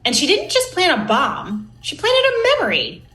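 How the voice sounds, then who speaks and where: A woman's voice: sits high, huge pitch swings, moderate pace, sharp tone. A woman co-hosting a podcast, asking questions in a conversational interview style. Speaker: podcast co-host. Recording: roomy, echoey sound.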